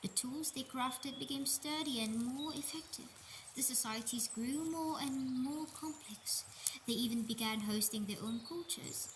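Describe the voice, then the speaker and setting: Actress as ailing Earth goddess: higher female voice, gentle, hesitant, emotionally raw audio drama, faint birdsong ambience. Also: a little slow, noisy background. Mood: tender pride. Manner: tender, hesitant, emotional, breaking into whispers. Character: sickly Mother Earth goddess, gentle, motherly, wounded, anguished. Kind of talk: scripted intimate audio roleplay monologue. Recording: nature ambience with birdsong behind voice.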